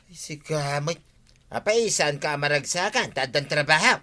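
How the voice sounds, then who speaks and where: A grumbling, suspicious village neighbour with an Ilocano accent. The voice is very bright and forceful at a middling pitch, pitched for radio comedy drama. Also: expressive, normal speed. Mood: grumbling, informing.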